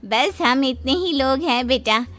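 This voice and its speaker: A woman playing a middle-aged mother-in-law in charge of a big cartoon family, measured and authoritative. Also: sits high, noisy background. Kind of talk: Hindi cartoon dialogue.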